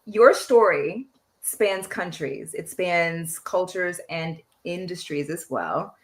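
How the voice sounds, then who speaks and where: A woman hosting a podcast interview, asking questions in an animated, encouraging way. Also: lowish voice, takes it slowly. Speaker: podcast host. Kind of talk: interview conversation.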